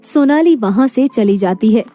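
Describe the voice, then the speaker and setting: A woman's voice: on the high side, expressive, normal speed, mellow, soft background music. A woman narrating a scripted moral story.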